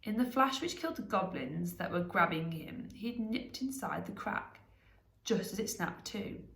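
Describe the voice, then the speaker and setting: A woman narrating a storybook aloud in an expressive reading style. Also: mid-range voice, moderate pace, creaky, echoey room.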